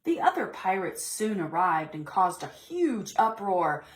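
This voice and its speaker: A woman reading a storybook aloud to preschoolers in an expressive, theatrical, deliberate way. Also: lowish voice, a little hiss or hum. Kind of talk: expressive storybook read-aloud for young children.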